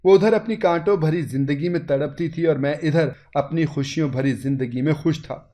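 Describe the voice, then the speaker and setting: A man's voice: fairly high pitch, normal speed, mellow. A man narrating a scripted moral story in the first person, with a dramatic, sorrowful, literary delivery.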